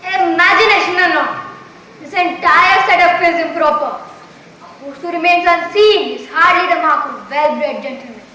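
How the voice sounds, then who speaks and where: A woman's voice: very high-pitched, normal speed. A woman acting a prim, disapproving, haughty lady guest in a stage mystery play. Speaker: amateur stage actor in a school play. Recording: echoey, distant, noisy stage.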